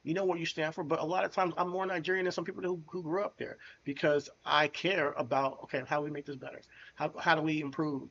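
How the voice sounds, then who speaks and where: A man co-hosts a loose podcast conversation, blunt and animated, full of slang. His voice sits a bit high for a man. The recording sounds dull and lo-fi, like a remote call. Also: fast talker, gravelly, few pauses, a little hiss or hum. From United States. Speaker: podcast co-host. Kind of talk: casual chat.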